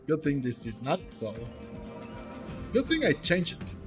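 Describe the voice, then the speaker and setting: A man with a fairly high voice narrates his video game play casually. He speaks slowly and haltingly, thinking out loud, with faint music behind him. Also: wildly swooping pitch. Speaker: game streamer. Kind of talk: casual gameplay commentary, reading game text aloud.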